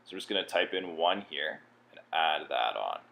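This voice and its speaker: A man with a low voice walks through software steps as a tutorial presenter. His delivery is plain-spoken and instructional, explaining each step as he goes. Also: expressive, moderate pace, creaky.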